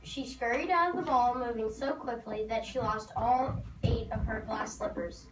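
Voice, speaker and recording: A woman's voice: on the high side, moderate pace. A woman narrating a scripted storybook tale for a readers theater performance. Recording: noisy, echoey hall from far off.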